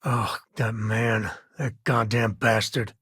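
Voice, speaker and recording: Folksy spacefaring dad, wry, good-hearted, casual, husky voice, dry close sound, video game dialogue. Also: male voice, fairly low pitch, flat delivery, takes it slowly, a bit bright, no echo, exaggerated voice. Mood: weary, bitter. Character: wry, good-hearted male space explorer and devoted father.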